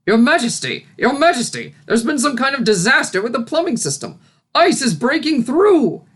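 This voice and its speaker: Woman as a frantic, high-pitched royal aide. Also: very animated, moderate pace, husky, full character voice. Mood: flustered alarm. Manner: frantic, formal, exclamatory. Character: flustered male royal aide pony.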